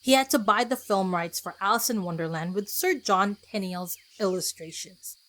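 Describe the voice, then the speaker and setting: A woman's voice: mid-range voice, moderate pace, fairly bright tone. A woman podcast host narrating a casual scripted solo episode in a chatty, self-deprecating way with conversational asides.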